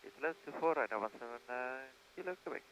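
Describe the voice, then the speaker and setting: A man's voice: medium pitch, expressive, quick pace. A man, an airline pilot, giving clipped procedural readbacks over aviation radio. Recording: very noisy clicking radio transmission.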